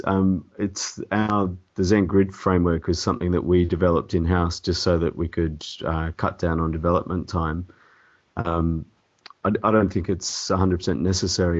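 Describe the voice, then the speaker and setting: A man with a cold and a croaky, hoarse voice, answering interview questions hesitantly with many ums and restarts. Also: sits low. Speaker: template developer guest. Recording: dull lo-fi audio.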